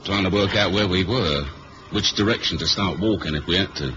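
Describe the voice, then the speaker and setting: An actor playing a cool, wry British spy in a 1970s radio drama, speaking in a dry, colloquial way. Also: male voice, sits low, fairly quick, lightly acted. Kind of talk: 1970s radio drama acting and first-person narration. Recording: muffled, noisy old radio recording.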